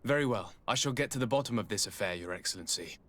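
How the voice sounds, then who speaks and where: A man playing a composed young noble diplomat in a video game, speaking in a formal, measured and polite way with quiet resolve. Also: fairly low pitch, expressive. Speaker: diplomat character. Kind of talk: video game cutscene acting.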